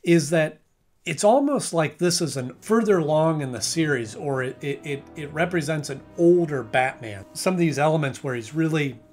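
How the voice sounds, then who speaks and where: A man's voice: on the high side, normal speed, darkish tone, faint music behind. Chatty man reviewing a cartoon episode. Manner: rambling, self-correcting, frequent restarts and filler. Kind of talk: casual video review commentary.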